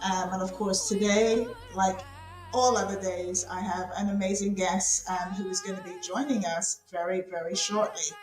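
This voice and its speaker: A woman's voice: medium pitch, fairly even intonation, normal speed, a little mellow, faint music behind. A woman, a show host from the UK, talking casually and live with plenty of ums and repeated phrases. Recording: roomy echo.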